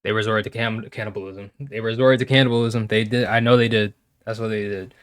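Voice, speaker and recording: A man's voice: lowish voice, fairly even intonation, fairly quick, clean tone, no room sound, clean studio sound. A young man hosting a reaction video, commenting casually in slangy speech. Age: young adult.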